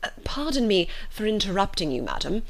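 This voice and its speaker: A woman's voice: mid-range voice, very animated, brisk. A woman voicing a pompous, self-important young male suitor in an audiobook, stiffly formal and wordy. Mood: stiff, affronted. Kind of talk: audiobook character voice.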